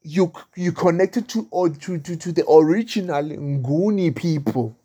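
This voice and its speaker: A woman's voice: lowish voice, unhurried, mellow, breathy edge. A woman hosting a casual interview, mixing Zulu and English, with frequent affirmations and fillers. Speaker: host.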